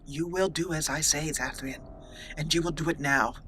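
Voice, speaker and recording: A woman voicing a resolute young female elf mage in improvised game roleplay, formal and deliberate. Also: low voice, steady tone, a bit bright, a little hiss or hum, voice pushed down, poor audio. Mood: stern, resolute.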